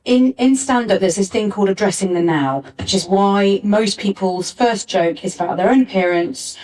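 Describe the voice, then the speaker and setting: A woman's voice: medium pitch, normal speed, warm tone. Woman on a panel, distant mic. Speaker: panel speaker, pantomime writer. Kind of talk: panel discussion, explaining. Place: arts centre venue, live panel. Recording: distant backup recording of live panel.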